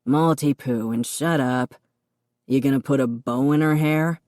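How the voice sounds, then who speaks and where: A woman voicing a young man with a low, deadpan, dry-humored way of talking. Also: unhurried, no echo.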